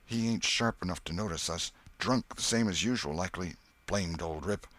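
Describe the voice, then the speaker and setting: A man voicing a superstitious, fearful young country boy with a hushed, shivery, folksy rustic dialect in a storybook. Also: deep voice, normal speed. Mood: dread.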